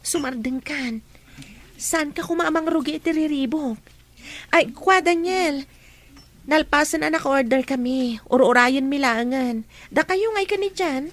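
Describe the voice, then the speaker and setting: A woman's voice: high voice, measured pace. A woman playing a sensible young student in a radio drama, the peacemaking friend who chides and placates. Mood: placating. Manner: chiding, placating.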